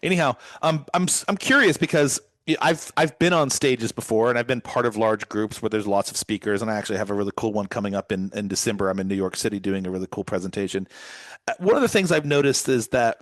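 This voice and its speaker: A man from California hosts a podcast, talking quickly in long, winding questions full of restarts and asides. His voice sits in a middle range, and the sound is dry and close, with no room around it. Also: fairly low pitch, no echo. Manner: rambling, curious questions with frequent restarts. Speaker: podcast host. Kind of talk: podcast interview conversation.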